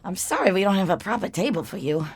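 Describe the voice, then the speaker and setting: A woman's voice: mid-range voice, expressive, fast talker, slight background noise, close to an everyday voice. A woman playing a bold, blunt, confident female raccoon nurse in a comedic audio drama. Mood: eager, businesslike.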